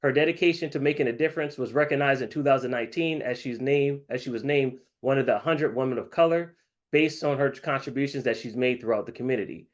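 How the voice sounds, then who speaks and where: A man's voice: medium pitch, fast. A man hosting an interview show, upbeat and promotional as he introduces his guest. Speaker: host.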